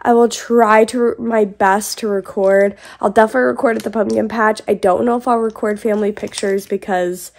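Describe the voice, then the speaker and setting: A woman's voice: medium pitch, fairly even intonation, a little fast, few pauses, darkish tone. A woman in her thirties, a little stuffed up, chatting casually to her phone camera in a home basement, rambling with frequent ums. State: stuffed up, congested. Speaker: vlogger. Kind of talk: casual vlog chat to camera. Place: home basement playroom, indoors. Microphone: phone held close to the face.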